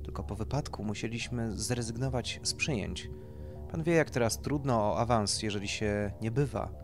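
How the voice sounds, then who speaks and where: A man's voice: mid-range voice, moderate pace, soft background music, lightly acted. A man voicing a snobbish, gossipy society wife in an audio story, indignant and vulgar, with emphatic delivery. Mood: confiding.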